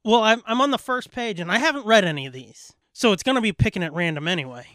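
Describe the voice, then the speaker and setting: A man co-hosting a podcast, chatting casually about pop culture in an animated, profanity-laced fan style. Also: high-pitched, fast talker, no echo. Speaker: podcast co-host.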